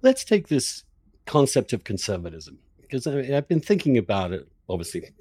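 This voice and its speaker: Man interviewer with very animated pitch. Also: normal speed, slightly rough, dry recording, clean studio sound. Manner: thinking aloud, repeats words for emphasis. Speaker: interviewer, podcast host. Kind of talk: conversational, discursive interviewing.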